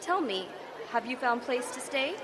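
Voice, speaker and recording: Carla Phillips, a brisk field reporter. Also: female voice, sits high, lively intonation. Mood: reporting. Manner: brisk, newsy delivery. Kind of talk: mock live news report. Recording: loud crowd and street noise.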